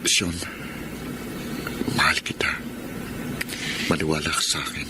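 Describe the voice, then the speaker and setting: A man's voice: a bass voice, flat delivery, unhurried, heavy background noise. A man acting a humble, working-class father and devoted suitor, sincere and pleading, in a Filipino radio drama.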